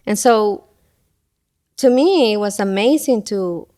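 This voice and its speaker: A middle-aged woman speaks at a middle pitch, typical for her sex. She talks as a guest in a relaxed interview, thinking aloud with frequent pauses and fillers. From Ecuador. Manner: thoughtful, many pauses and fillers. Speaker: guest, school event coordinator. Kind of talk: casual interview talk.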